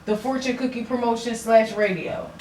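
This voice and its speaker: Casting: female podcaster, Philly accent, high register, blunt slangy street talk, recorded at a distance with faint noise. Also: steady tone, normal speed, a little mellow. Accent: Philadelphia. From Philadelphia, USA. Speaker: podcast host and rapper. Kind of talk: casual podcast chat. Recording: roomy echo, distant mic, faint noise.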